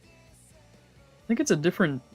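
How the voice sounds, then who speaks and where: A woman streamer playing a visual novel, mixing casual, slangy, expressive commentary with reading the game text aloud. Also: on the low side, unhurried, husky. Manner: expressive, reactive, exclamatory, slangy. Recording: music playing behind the voice.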